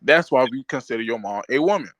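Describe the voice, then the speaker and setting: A man's voice: medium pitch, lively intonation, quick pace, fairly bright tone, no room sound. A man in a casual online debate, blunt and slangy, pressing his opponent with questions.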